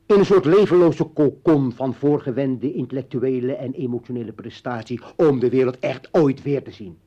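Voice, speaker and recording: An actor playing a bitter, militant revolutionary and former journalist in a radio play, ranting and taunting in halting bursts. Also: male voice, mid-range voice, dark tone, slightly rough, lightly acted. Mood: bitter, insistent. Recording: dull vintage radio drama recording.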